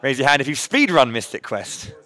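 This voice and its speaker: Male host, mid-range pitch, loud and chatty, upbeat live-event patter. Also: big pitch swings, brisk. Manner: upbeat, chatty, many fillers and restarts. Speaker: charity marathon host.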